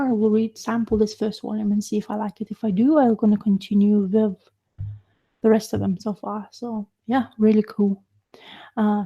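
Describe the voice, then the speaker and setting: A young adult woman whose first language is Lithuanian, chatting casually on a livestream, halting and full of fillers and restarts. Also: mid-range voice, dark tone, breathy edge, lo-fi sound. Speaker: livestream host. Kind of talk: casual live chat about comics.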